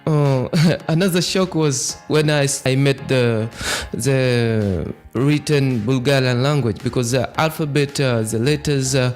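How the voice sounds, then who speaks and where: A man's voice: fairly high pitch, darkish tone. A young man from Tanzania, a Swahili speaker hosting a podcast and earnestly reflecting on his own experience. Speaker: podcast host. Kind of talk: personal monologue.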